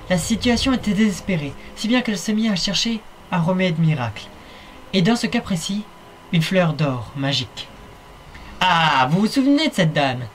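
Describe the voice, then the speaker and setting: A man's voice: on the high side, slight background noise. A man narrating a fan-dubbed fairy tale in a playful, wry way, speaking straight to the viewer. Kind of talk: fan-dub storytelling narration.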